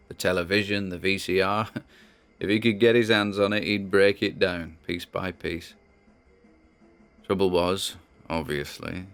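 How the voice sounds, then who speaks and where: A British man playing a troubled grandson who records a halting, confiding audio diary in an audio drama. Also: low-pitched, a bit bright, clear, resonant tone.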